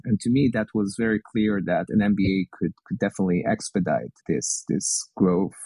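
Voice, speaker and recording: Israeli man, mellow low voice. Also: a little fast, slightly rough, no room sound, poor audio. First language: Hebrew. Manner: thoughtful, repeats words when searching for phrasing. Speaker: guest, venture investor. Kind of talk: conversational podcast interview.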